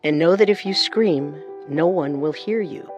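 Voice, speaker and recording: A woman co-hosting a scripted true-crime program, speaking in a serious, deliberate way. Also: sits low, lo-fi sound, music in the background.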